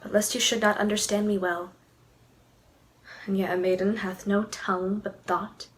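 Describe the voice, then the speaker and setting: A woman's voice: mid-range voice, level tone, moderate pace, natural voice, rough recording. A woman acting a lovestruck, torn young noblewoman in a Shakespearean verse monologue, with hesitant, theatrical delivery. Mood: tender, pleading.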